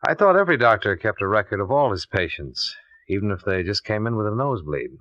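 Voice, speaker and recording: A man's voice: fairly low pitch, very animated, fast, a bit bright, no room sound. A man playing a blunt, dogged insurance investigator in a 1950s radio detective drama, speaking in a clipped, pointed way. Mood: probing, pointed. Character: dogged, blunt male insurance investigator narrating his case. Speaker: lead actor and narrator. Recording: dull lo-fi old radio recording.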